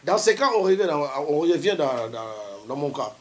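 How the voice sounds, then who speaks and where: Male TV guest, high and very animated, insistent and repetitive. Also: moderate pace, crowd noise. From Democratic Republic of the Congo. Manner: insistent, repeats himself to hold the floor. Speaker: studio guest. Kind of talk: heated debate on a TV talk show.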